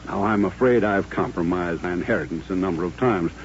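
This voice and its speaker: Man as courteous low-voiced Southern colonel, old radio. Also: fairly quick, slight background noise, lightly acted. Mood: solemn, earnest. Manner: formal, gentlemanly, reflective. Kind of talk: 1950s radio western acting. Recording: dull, humming old-time radio recording.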